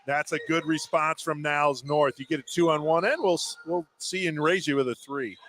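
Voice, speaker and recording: Bright-voiced American man, casual color commentary. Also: fairly high pitch, fairly quick. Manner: informal, conversational reactions. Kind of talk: casual live sports commentary. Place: courtside in a basketball gym. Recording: some noise, gym ambience.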